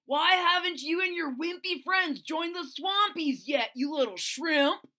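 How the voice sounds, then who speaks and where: A woman's voice: very high voice, expressive, a little slow, sharp tone, voice pushed up, heavily acted. A woman voicing a boastful, bossy young male dinosaur bully in a storybook, with taunting, emphatic delivery. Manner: taunting, emphatic, stretched-out words.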